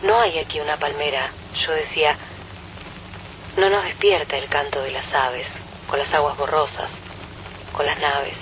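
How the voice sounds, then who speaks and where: A man's voice: fairly high pitch, fairly even intonation, unhurried. A man reciting poetry. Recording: noisy recording.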